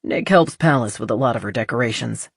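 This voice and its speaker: A woman's voice: extremely low pitch, animated, a little fast, vocal fry, husky, no echo, clean studio sound, voice pushed down, heavily acted. A woman voicing a teasing, understated adult male artist in an audiobook romance. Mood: calm, dry. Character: teasing, low-key adult male artist, gently curious. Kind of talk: audiobook character dialogue.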